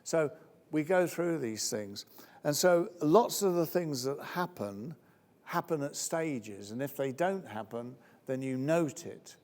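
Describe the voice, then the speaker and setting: A man's voice: lively intonation, takes it slowly, a little mellow. A man presenting a conference workshop, chatty and jokey as he answers questions from the audience. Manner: jokey, chatty, jumps to high squeaky voices when acting out others. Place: conference hall. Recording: long reverb of a large hall.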